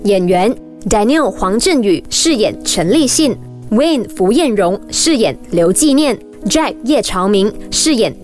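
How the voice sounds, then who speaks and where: A woman reading scripted credits as a radio announcer. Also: fairly high pitch.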